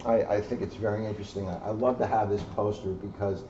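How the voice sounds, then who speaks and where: A man in his sixties, a police officer reading aloud to children and commenting informally in an earnest, expressive way. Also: on the low side, quick pace. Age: 60s. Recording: muffled, noisy amateur video sound.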